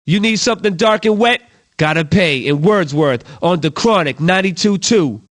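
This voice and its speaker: A man's voice: sits high, animated, normal speed. A man playing a brash, cocky hip-hop radio DJ with punchy, slangy, playful patter. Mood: hyped, cocky.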